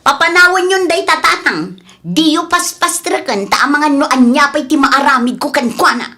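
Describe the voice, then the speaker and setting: A woman's voice: high voice, expressive, normal speed, sharp tone. A woman playing a scorned young woman in an Ilocano comedy drama, exclamatory and sarcastic in manner. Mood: mocking, dismissive.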